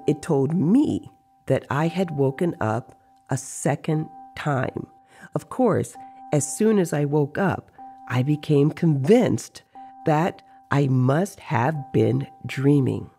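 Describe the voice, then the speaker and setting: Slow, creaky-voiced storyteller over music. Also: mid-range voice, warm tone. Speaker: narrator. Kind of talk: dramatized audiobook storytelling.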